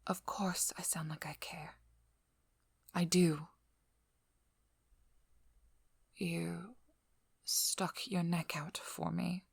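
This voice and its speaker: Female vampire hunter, played by a woman, ASMR audio drama: firm, protective, dryly wry. Lowish husky voice, measured delivery falling often to a whisper. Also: flat delivery, dry recording, studio quality, exaggerated voice. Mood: soft, sincere. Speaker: ASMR role-play performer. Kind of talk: intimate ASMR role-play acting. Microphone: close microphone.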